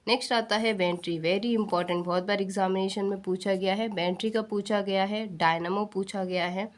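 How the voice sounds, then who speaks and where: A woman's voice: mid-range voice, fairly even intonation, normal speed. An Indian woman teaching an exam-prep science lesson, posing questions and answering them herself, repeating key points and mixing in English technical terms.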